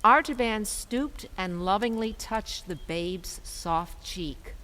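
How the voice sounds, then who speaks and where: A woman narrating a scripted story in a measured way, phrase by phrase. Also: mid-range voice, bright, forceful tone.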